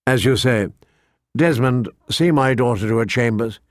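A man plays a noble lord and father in an audiobook. He is formal and measured, stern yet fond, in a mid-pitched voice with a very dry, close sound. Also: dry recording, natural voice. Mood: grave. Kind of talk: audiobook character dialogue.

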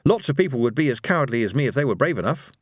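A man's voice: medium pitch, sing-song, very fast, no echo, voice pushed up. A man voicing a nervy, cowardly fellow who is hesitant, dry and self-justifying, in a storybook reading. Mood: wry, anxious. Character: Rincewind, nervy cowardly man, wry and evasive.

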